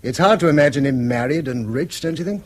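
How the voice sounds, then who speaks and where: An actor playing a pedantic, mild-tempered schoolmaster and husband in mid-century British radio drama, speaking precise Received Pronunciation in a gently scolding way. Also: male voice, fairly high pitch, lively intonation, fast. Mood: mild, musing. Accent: English Received Pronunciation.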